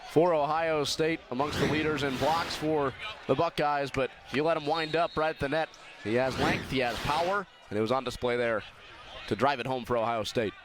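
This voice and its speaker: Male colour commentator, American, slightly high voice, explanatory and emphatic, gym noise clearly audible behind him. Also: moderate pace. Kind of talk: live sports analysis. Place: indoor sports arena during a match. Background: arena noise, balls bouncing.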